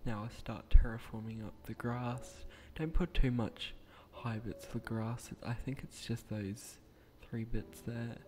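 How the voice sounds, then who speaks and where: A young man with a croaky voice, speaking quietly at night in a hesitant, rambling way with frequent restarts as he narrates a game build. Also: mid-range voice, steady tone, measured pace, few pauses, faint music behind. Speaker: gaming channel creator.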